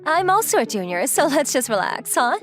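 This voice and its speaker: Woman acting a breezy young model, high lively voice. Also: a little fast. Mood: curious, casual. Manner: forward, playful, casually inquisitive. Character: confident, teasing, breezy young female model and student. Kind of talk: anime-style dub acting. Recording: music behind the voice.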